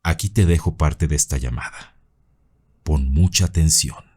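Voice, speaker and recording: Very deep male mystery narrator. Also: moderate pace, darkish tone, slightly rough. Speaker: narrator and host of paranormal mystery videos. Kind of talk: scripted narration of eerie mystery stories.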